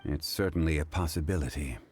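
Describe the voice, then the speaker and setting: A man playing a composed, learned gentleman consultant in a game, speaking formally and deliberately. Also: very deep voice, a little hiss or hum. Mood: grave, thoughtful. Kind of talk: game voice acting.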